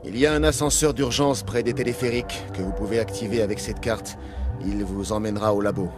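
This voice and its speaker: An actor playing a weary, gruff security captain in a video game, speaking in a blunt, dry, colloquial way. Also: male voice, on the low side, steady tone, fairly quick, music in the background, lightly acted. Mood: weary, sardonic. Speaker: guard captain.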